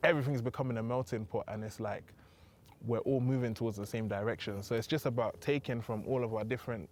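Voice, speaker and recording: A young man with a British accent talks in a relaxed, chatty way as an interview guest. His voice sits in a middle pitch, and he keeps things informal with easy filler phrases. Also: fast, slight background noise. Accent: British English. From England. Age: young adult. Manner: relaxed, chatty, uses filler phrases like "do you know what I mean". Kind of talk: casual conversational interview.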